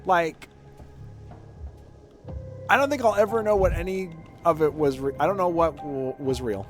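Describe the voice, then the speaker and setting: A man's voice: a little high, normal speed, music in the background. A man doing casual, rambling livestream commentary while playing a game, sardonic and prone to swearing.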